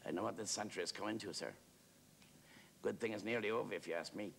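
A man's voice: on the low side, fast talker, vocal fry, fairly bright tone, slight background noise. A man playing a naive, talkative working-class warder who rambles deferentially in a theatrical monologue. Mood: scandalised, eager. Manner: rambling, hesitant, repetitive, with deferential address.

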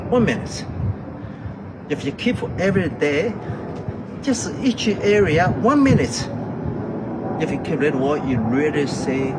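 A man's voice: medium pitch, background noise. A middle-aged man, not a native English speaker, leading an exercise demonstration outdoors in short, simple, repeated phrases and counts. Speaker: health exercise instructor. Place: outdoor terrace by a brick building.

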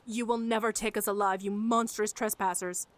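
A woman's voice: medium pitch, level tone, normal speed, close to an everyday voice. A woman playing a stern, defiant island native in a fantasy audio drama.

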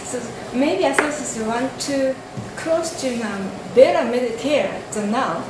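A woman whose first language is Japanese asks a hesitant question, restarting often and apologizing. Her voice is slightly high and sounds far from the mic. The room is echoey with loud background noise. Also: a little slow. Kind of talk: asking a question in a seminar. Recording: distant, echoey, noisy room.

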